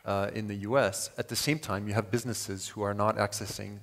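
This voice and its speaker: A man from Switzerland speaking as a panelist, measured and in long qualified sentences, at a conference. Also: on the low side, steady tone, a bit bright. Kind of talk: panel discussion. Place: conference hall. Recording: reverberant conference hall.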